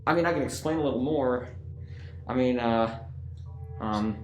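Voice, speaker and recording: A man's voice: medium pitch, normal speed, close to an everyday voice. A man playing an exhausted, scruffy, nervous crewman in a radio play, hesitant and awkward. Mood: nervous, flustered. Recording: echoey room, faint music.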